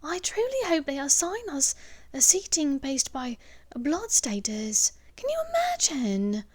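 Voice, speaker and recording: Woman putting on a high, snobbish little girl. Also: big pitch swings, a little slow, darkish tone. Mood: haughty disgust. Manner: haughty, complaining. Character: snobbish, prissy little girl, about eleven.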